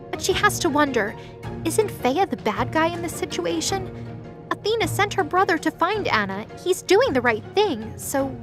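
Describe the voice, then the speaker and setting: High-voiced woman narrating over music. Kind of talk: scripted audio drama narration. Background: music under the voice.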